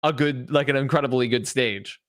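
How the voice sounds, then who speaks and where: A man's voice: mid-range voice, brisk, fairly bright tone, no room sound, studio quality. A man chatting casually as an esports panelist, insistently repeating and circling back on his points. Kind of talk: casual chat.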